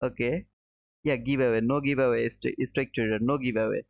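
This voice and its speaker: A man's voice: steady tone, a little fast, gravelly. A man from Bangladesh, hosting a casual trading livestream, reading viewer chat and calling viewers brother. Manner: friendly and chatty, calls viewers brother, says boom. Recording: dull, slightly muffled, dry.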